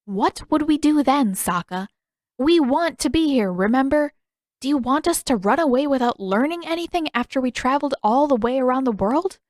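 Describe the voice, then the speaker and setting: Woman playing a scornful, high-pitched teenage girl. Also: lively intonation, moderate pace, a little mellow, no room sound, studio quality. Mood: scolding. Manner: emphatic, scornful, sisterly scolding. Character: sarcastic, scolding teenage girl with a short temper.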